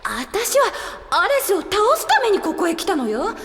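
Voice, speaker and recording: A woman's voice: extremely high pitch, huge pitch swings, normal speed, vocal fry, full character voice. An actress playing a proud, easily flustered young warrior woman, haughty and snappish, in an audio drama. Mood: flustered, defensive. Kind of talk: Japanese audio drama acting. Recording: echoey, long reverb, faint noise.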